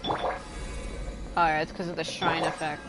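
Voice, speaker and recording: A woman with a lowish voice gives casual commentary as she streams a game. She thinks aloud conversationally while loud game music plays behind her. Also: level tone, a little slow. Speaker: game livestreamer.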